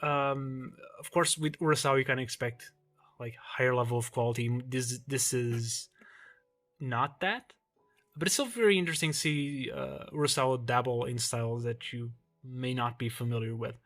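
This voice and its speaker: A young man from Portugal whose native language is Portuguese, casually showing his manga shelves in a relaxed, rambling way with frequent ums and restarts. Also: mid-range voice, normal speed, faint music behind. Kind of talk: casual collection tour vlog.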